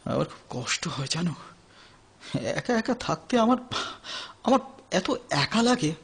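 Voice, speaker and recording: Lonely anguished young man, high-pitched. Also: very animated, gravelly, a bit bright, husky, heavily acted. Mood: lonely, pained. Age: 30s. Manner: emotional, halting, repeats phrases. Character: lonely, anguished thirty-year-old son of neglectful parents. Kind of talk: Bengali audio play acting.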